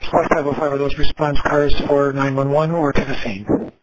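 Male police dispatcher, even clipped readbacks, noisy radio audio; steady voice for scanner audio. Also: mid-range voice, normal speed. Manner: clipped, repeats back each call.

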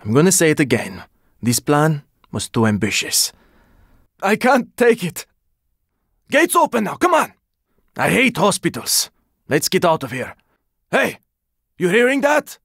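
A man playing a gruff, weary, sardonic squad fighter in a zombie video game, speaking in terse lines with a raspy voice. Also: fairly high pitch, huge pitch swings, a little slow, pauses often, a bit bright, no room sound, clean studio sound, exaggerated voice. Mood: weary, grim, then urgent, shouting. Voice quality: raspy, gravelly. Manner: terse, urgent, swearing. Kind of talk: video game character combat barks.

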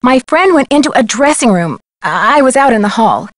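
A woman's voice: a little high, sing-song, normal speed, dry recording, clean studio sound. A woman playing an earnest, polite and persistent young amateur detective in a video game. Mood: worried, insistent. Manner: polite, earnest, clearly spoken.

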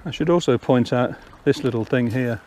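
A man's voice: mid-range voice, moderate pace, a little mellow, slight background noise. An older man casually explaining fishing steps outdoors on a riverbank, talking step by step. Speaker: angler and channel host.

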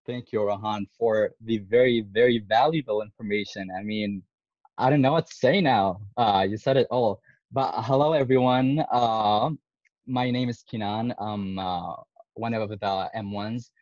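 A man's voice: fairly low pitch, a little slow, darkish tone, dry recording. A young man from Syria, a medical student in his twenties, presenting on a video call and speaking hesitantly with many fillers. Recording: dull, lo-fi video call audio.

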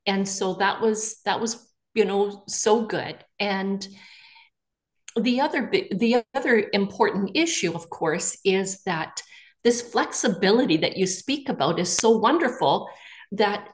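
A woman's voice: mid-range voice, expressive, measured pace. A woman in her sixties, a real estate agent leading a webinar panel, talking casually from her living room with frequent "you know" fillers. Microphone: video call.

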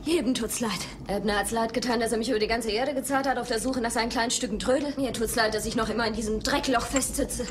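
An actress playing a feisty, bitter young bar owner in a film dub, proud, defiant and sardonic. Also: female voice, fairly high pitch, fairly even intonation, quick pace, hardly any pauses, lightly acted. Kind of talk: dubbed film acting. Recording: noisy old film dub soundtrack.

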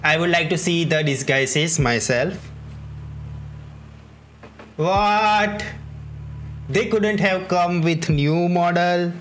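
A man's voice: sits high, a bit bright. A man giving casual, offhand reaction commentary while playing a story game. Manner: unhurried, offhand remarks to the game.

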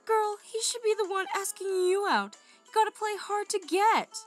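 A woman's voice: very high voice, moderate pace, soft background music. A woman voicing a sassy, bossy young female animal in a cartoon comic dub.

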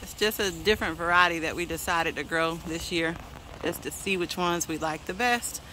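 A woman's voice: on the low side, moderate pace, noisy background. A woman in her forties giving a casual, chatty tour of her backyard garden on a phone held at arm's length, laughing often. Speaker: vlogger.

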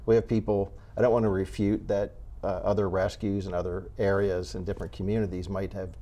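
An older man speaking as an interview guest from a cat rescue, choosing his words in a measured, halting way. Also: low-pitched, level tone, warm tone. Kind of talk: unscripted interview talk. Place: indoor TV studio set. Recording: clear background noise.

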